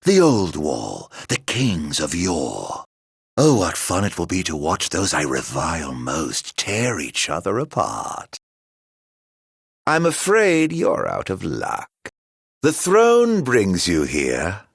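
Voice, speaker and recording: A man playing a mocking, immortal, vengeful video game villain with a gravelly, husky voice and a drawling, grandiloquent, sardonic delivery. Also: deep voice, huge pitch swings, takes it slowly, no room sound, clean studio sound, exaggerated voice. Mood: gleefully taunting. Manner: drawling, grandiloquent, sardonic, swinging from murmurs to shouts. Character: theatrical, mocking, immortal male villain bent on revenge.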